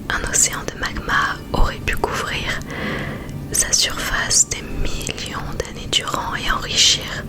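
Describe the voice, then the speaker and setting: A woman playing an awestruck space mission scientist in a whispered ASMR roleplay, speaking in a slow, hushed, solemn and poetic way. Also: close to an everyday voice. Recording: music behind the voice.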